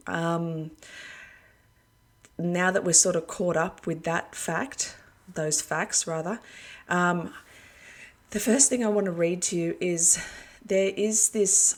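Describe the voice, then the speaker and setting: A woman speaks to her online followers as a preacher, hoarse as though losing her voice. Her tone is low and gravelly with little rise and fall. She rambles earnestly, leaning on fillers as she goes. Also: fairly even intonation, measured pace. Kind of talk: casual video address to followers.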